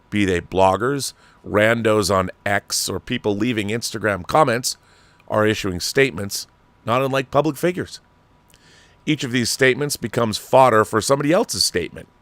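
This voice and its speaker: A man with an American accent reads an article aloud as a talk show host, phrasing deliberately and leaning on each item in a list. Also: mid-range voice, fairly bright tone, dry recording. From Washington state, United States. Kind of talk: reading an article aloud with asides.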